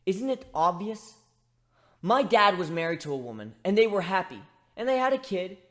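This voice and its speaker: A man's voice: sits high, big pitch swings, normal speed. A man voicing a young male griffin in a dramatic story reading, hurt and bitter, ranting with sarcastic emphasis. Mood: bitter, anguished. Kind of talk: dramatic fan fiction reading.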